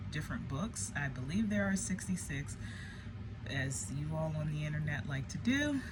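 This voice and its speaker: Casting: casual online storyteller, mid-range voice of unclear gender, candid and self-deprecating, colloquial phrasing, noisy ambient recording. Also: medium pitch. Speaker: host, storyteller. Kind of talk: casual conversational monologue to an online audience. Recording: clearly noisy background with ambient sound.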